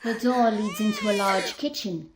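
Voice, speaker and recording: A woman's voice: mid-range voice, moderate pace, very noisy, natural voice. A woman describing scenes in a scripted, present-tense radio play narration.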